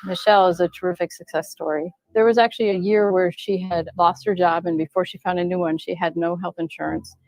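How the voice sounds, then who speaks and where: A woman with a slightly low voice speaks as a clinician in a documentary piece. She recounts a patient's story in an admiring, measured way. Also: fairly even intonation, a little mellow, dry recording, poor audio. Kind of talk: documentary interview clip.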